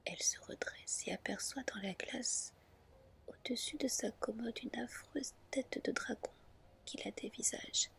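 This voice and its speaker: A woman narrating a fairy tale gently and in measured phrases, in a whispered ASMR style. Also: on the high side, gravelly. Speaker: ASMR storyteller.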